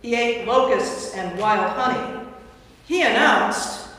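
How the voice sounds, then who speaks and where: A woman's voice: fairly high pitch, slow, a bit bright. A woman priest speaking to a gathering as host and narrator in a church. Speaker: priest and narrator of a staged reading. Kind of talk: informal welcome address, then scripted narration. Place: large indoor church space. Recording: echoey church hall from far off, faint noise.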